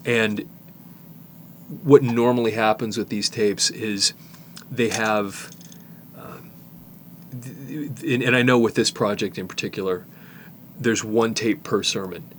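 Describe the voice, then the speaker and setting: A man's voice: lowish voice, takes it slowly, pauses often, a little hiss or hum. A middle-aged man showing a cassette repair in his workshop, thinking aloud as he works, with restarts and asides. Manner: relaxed, thinking aloud with restarts while working. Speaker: tape repair technician. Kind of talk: hands-on repair demonstration.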